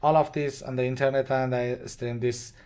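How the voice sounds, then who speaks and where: A man who is not a native English speaker gives an unscripted step-by-step product tutorial in short, simple, halting phrases. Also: mid-range voice, flat delivery, darkish tone, smooth. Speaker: product demonstrator. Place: indoors at a demo bench with devices.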